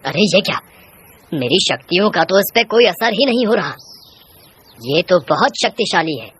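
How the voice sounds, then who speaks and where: A woman's voice: on the low side, big pitch swings, normal speed, fairly bright tone, slightly rough, exaggerated voice. A woman voicing a shrewd, commanding male village sorcerer in a horror tale, with declamatory delivery. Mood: astonished.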